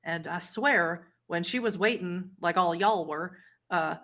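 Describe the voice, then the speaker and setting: Tabletop game role: timid, dim male underling, played by a woman, hesitant and plain-spoken, stumbling over words, lowish female pitch. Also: measured pace, fairly bright tone. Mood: hesitant, uneasy. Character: timid, dim, nervous male human errand-runner for a vampire.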